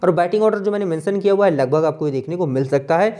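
A man with an Indian accent giving an informal, brisk fantasy cricket preview as a channel host, running through player statistics. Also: high voice, dark tone. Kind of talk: informal match preview commentary over on-screen stats.

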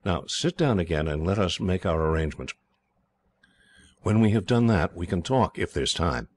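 A man's voice: low-pitched, animated, normal speed. A man voicing a cheerful young English officer who speaks heartily and reassuringly. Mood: hearty.

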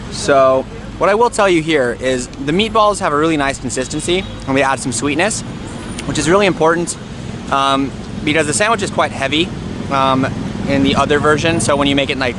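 Young man, enthusiastic travel vlogger, handheld camera, outdoor park, traffic noise behind. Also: medium pitch. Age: young adult. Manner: chatty, enthusiastic, many fillers. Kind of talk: casual travel vlog. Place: outdoors in a city park and street. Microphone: camera held at arm's length.

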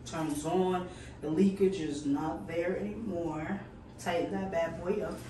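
A woman's voice: on the low side, fairly even intonation, a little slow. A young woman talking casually to camera in an informal, matter-of-fact way. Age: young adult. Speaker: vlogger. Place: small tiled home bathroom. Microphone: camera a few steps away. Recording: echoey bathroom, distant mic, background noise.

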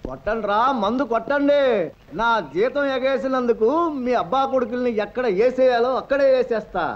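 A man's voice: very high voice, fairly quick. An actor playing a scheming middle-aged male servant who mutters sing-song gloating asides. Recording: dull old film soundtrack with music.

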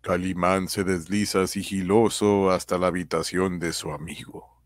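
A man's voice: sits low, flat delivery, normal speed, dry recording, clean studio sound. A man reading scripted narration for a comic-book radio drama.

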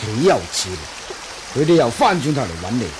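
An actor playing a lecherous, gloating bandit villain in a radio drama, taunting with a chuckling manner. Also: male voice, mid-range voice, animated, fairly quick, long reverb tail, noisy background, close to an everyday voice. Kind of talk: Cantonese radio drama acting.

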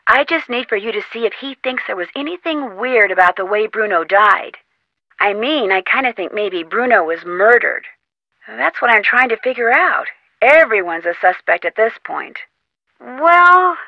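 A woman's voice: on the high side, no room sound, lo-fi sound. An actress playing a plucky young female amateur detective in an adventure game, talking in an encouraging, insistent, conversational way. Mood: confiding, serious. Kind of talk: scripted adventure game dialogue.

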